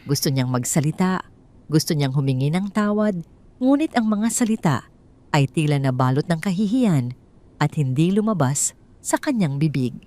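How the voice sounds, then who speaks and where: A woman with a Filipino accent narrating a scripted dramatic story in measured, sentimental phrasing. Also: low voice, animated, warm tone.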